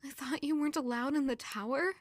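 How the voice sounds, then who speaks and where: A woman's voice: sits high, fairly even intonation, fairly quick, no echo, natural voice. An actress playing a fragile young servant woman, grieving and full of self-doubt, in an emotional audio drama. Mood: hesitant, questioning.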